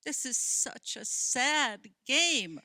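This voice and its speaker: A woman's voice: high-pitched, sing-song, very slow, bright, forceful tone, no room sound, heavily acted. An actress in a radio play playing a skeptical, stubborn, gloomy woman who questions and argues. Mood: aggrieved. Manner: querying, argumentative.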